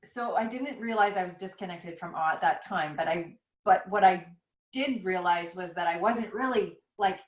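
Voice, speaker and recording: A woman's voice: lowish voice, moderate pace. A woman author talking over a video call, thinking aloud in a rambling, reflective, self-questioning way. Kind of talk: casual conversation over a call. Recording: roomy echo.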